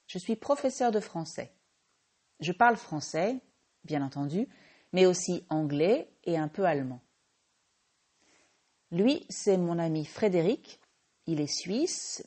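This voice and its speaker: A French woman who teaches French, hosting a slow, clear language-lesson podcast and patiently correcting her own small slips. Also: on the low side, expressive, mellow. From France.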